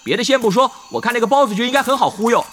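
A man acting in an audio drama as a cocky, talkative young gamer who chatters excitably and nags. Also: very high voice, slight background noise. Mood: excited, pressing. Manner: chatty, rapid-fire, repeats himself.

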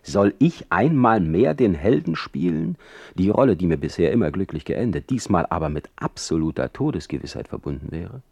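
A proud, brooding German poet in a radio play. His voice is very low and gravelly, recorded dry and close. He speaks rhetorically, with theatrical irony. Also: sing-song, normal speed, a little mellow, no room sound, heavily acted. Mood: suspicious, brooding. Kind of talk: German radio play acting, letter monologue.

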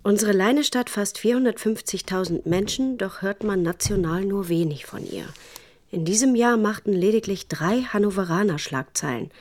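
An actress playing an educated, critical journalist and wife, narrating her life in a measured, dry, chronicle-like way for a radio drama. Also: mid-range voice, a little mellow, close to an everyday voice. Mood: factual. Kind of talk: scripted first-person radio drama narration.

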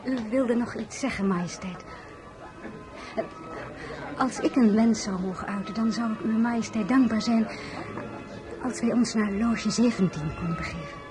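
An actress playing a composed, polite young marshal's wife in an audio drama, formal and restrained. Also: female voice, a little high, normal speed, long pauses, over music, a crowd in the background, natural voice, low-quality recording. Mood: deferential. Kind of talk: audio drama narration and dialogue.

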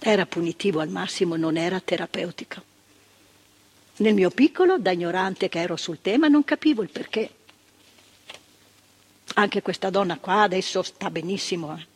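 An indignant older woman recalling her years on asylum wards. Her husky voice swings widely in pitch, sinking to near-whispers and climbing to sharp emphatic peaks. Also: lively intonation, normal speed, long pauses. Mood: indignant recollection. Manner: vivid storytelling, swinging from hushed to emphatic outbursts. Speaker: monologue performer. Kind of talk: stage monologue.

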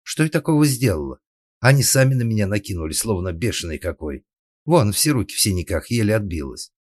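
A man's voice: sits low, lively intonation, a little fast, husky, no room sound, clean studio sound. A man voicing an indignant young maidservant who talks back in a defiant, colloquial, grumbling way in a comic story.

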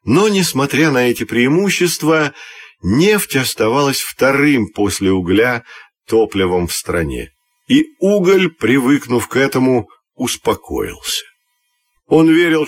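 A husky-voiced man narrates a children's radio fairy tale in an expressive, measured way. His voice sits at a middle pitch, and the recording is dry and close. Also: dry recording. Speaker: storyteller reading a children's audio tale.

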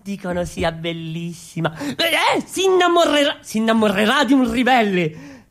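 A man playing an excitable, boastful young revolutionary who addresses the crowd with declamatory questions in a comic radio play. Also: very high-pitched, moderate pace, exaggerated voice. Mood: astonished, urging. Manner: animated, declamatory, rhetorical questioning. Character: excitable young male revolutionary rebel, boastful wandering storyteller. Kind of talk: comic street-theatre monologue on radio.

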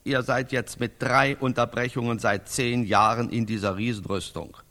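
Guy acting lofty medieval sidekick, medium pitch, preachy and formal, old-fashioned wording, radio play style. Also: fairly even intonation, normal speed, bright, forceful tone, close to an everyday voice. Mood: solemn. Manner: formal, exhorting, mock-archaic diction. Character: earnest, lofty male companion urging a knight to honour.

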